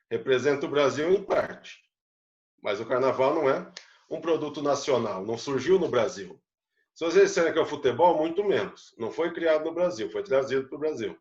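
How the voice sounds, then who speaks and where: A man's voice: a little high, echoey room, highs cut off. A man, a psychologist, giving an explanatory live talk to an online audience and putting rhetorical questions to them. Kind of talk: lecture-style live talk.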